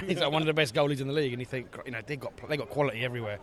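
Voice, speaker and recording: A man's voice: mid-range voice, very fast, fairly bright tone. A man co-hosting a casual football podcast outdoors, rambling and repeating himself. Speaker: podcast co-host. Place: outdoors by a stadium.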